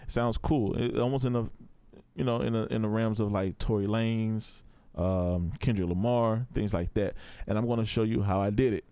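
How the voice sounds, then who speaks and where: A man's voice: fairly low pitch, steady tone, moderate pace, dark tone, clean tone, no room sound. A man giving a casual, step-by-step tutorial in a laid-back, informal way. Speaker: audio production tutor.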